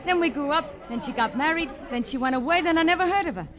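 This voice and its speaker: An actress as a high, brash American roller-derby girl. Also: fast, lightly acted. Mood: breezy, chatty. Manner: chatty, literal-minded, cheeky. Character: brash, breezy, scatterbrained female roller derby skater. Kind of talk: 1950s radio comic character acting. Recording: old radio recording, crowd behind.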